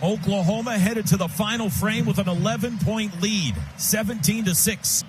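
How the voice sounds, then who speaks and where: High-pitched American football announcer over heavy noise. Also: male voice, fairly even intonation, normal speed. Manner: clipped, urgent present-tense phrases. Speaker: radio play-by-play announcer. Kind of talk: live American football radio commentary. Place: stadium radio booth.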